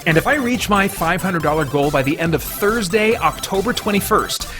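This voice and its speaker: A man with a high-pitched voice presents as the host of an online channel. He delivers an upbeat scripted pitch with playful self-deprecation. Music plays behind him. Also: animated, moderate pace. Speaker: host of a geek-culture review channel. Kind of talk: upbeat scripted review outro and fundraiser pitch.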